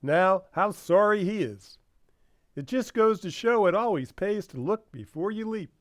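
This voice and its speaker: A man's voice: high voice, lively intonation, a little slow, no room sound, studio quality. A man playing a genial, folksy announcer reading sponsor copy in the style of old-time radio advertising. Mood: genial, persuasive.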